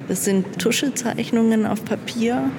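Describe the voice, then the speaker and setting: A woman's voice: a little high, unhurried. Woman explaining her art in a reverberant room. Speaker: art graduate presenting her work. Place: exhibition room indoors. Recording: long reverb with audible background noise.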